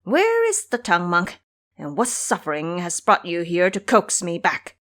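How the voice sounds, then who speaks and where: A woman's voice: lowish voice, wildly swooping pitch, moderate pace, fairly bright tone. A woman voicing a cocky, hot-tempered monkey king in an audio drama, imperious, taunting and scolding. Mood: stern.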